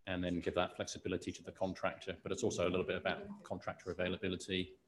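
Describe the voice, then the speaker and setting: Deep, flat-voiced New Zealand man. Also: slight background noise. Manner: measured, explanatory. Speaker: council staff officer. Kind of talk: answering questions in a meeting.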